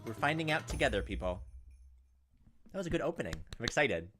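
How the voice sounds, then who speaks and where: A man's voice: mid-range voice, very animated, fast, fairly bright tone, soft background music. A man hosting a let's-play, chatting casually and thinking aloud with frequent ums and likes. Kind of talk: casual gameplay commentary.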